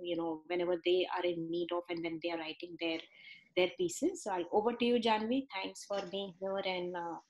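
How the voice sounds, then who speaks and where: A woman's voice: medium pitch, poor audio. A woman whose first language is not English, hosting the session and introducing the guest speaker with many fillers. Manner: warm, thankful introduction with fillers. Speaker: session host.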